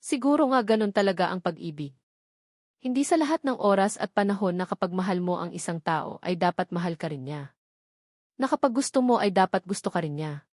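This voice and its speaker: A woman's voice: on the low side, animated, a little fast, darkish tone, no echo, studio quality. A woman with a Filipino accent reads a first-person romance novel as a dramatic narrator. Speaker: audiobook narrator.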